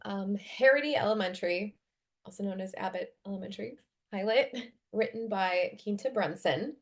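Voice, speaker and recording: Mid-pitched woman reading a script aloud. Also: normal speed. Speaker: narrator of a script read. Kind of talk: reading screenplay directions aloud. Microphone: video call microphone.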